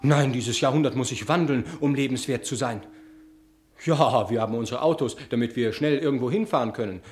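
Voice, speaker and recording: A man's voice: medium pitch, brisk, close to an everyday voice. An actor playing an earnest, dissatisfied romantic man, declamatory and theatrical in a 1960s German radio play. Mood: yearning, indignant.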